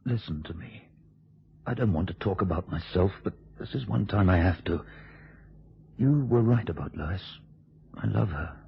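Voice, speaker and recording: An actor in a radio drama playing a stiff, high-minded husband, restrained, weary and indignant. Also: male voice, lively intonation, moderate pace, gravelly, pauses often, breathy edge, exaggerated voice. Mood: earnest, resigned. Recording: dull, lo-fi old radio recording.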